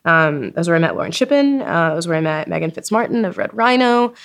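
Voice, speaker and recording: A woman in her twenties with a slightly low voice is being interviewed. She talks in a loose, informal storytelling way, peppered with "like" and quoting herself as she goes. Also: fast, vocal fry. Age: 20s. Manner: informal, animated self-quoting, frequent "like". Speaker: podcast creator being interviewed. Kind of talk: casual chatty interview storytelling. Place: recording studio at a festival.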